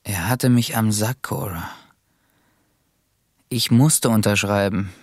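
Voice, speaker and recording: An actor playing a guilt-ridden, desperate lover in a German radio drama, speaking in a hushed, halting, husky voice. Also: male voice, lowish voice. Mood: ashamed, pleading. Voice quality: husky, breathy, gravelly.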